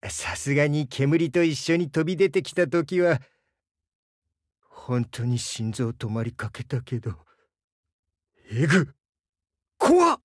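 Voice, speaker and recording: A man playing a gentle, bashful crocodile diving instructor in a Japanese game, earnest and hesitant, easily flustered. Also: on the high side, big pitch swings, takes it slowly, pauses often, no room sound, clean studio sound, heavily acted. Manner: soft-spoken, hesitant, earnest, stammers when flustered. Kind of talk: Japanese game character voice lines.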